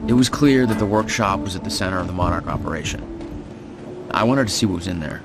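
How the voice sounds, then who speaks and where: An actor playing a grim, weary hero who recounts past events in a video game. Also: male voice, sits low, animated, quick pace, creaky, over music. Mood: grim, reflective. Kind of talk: scripted first-person game narration.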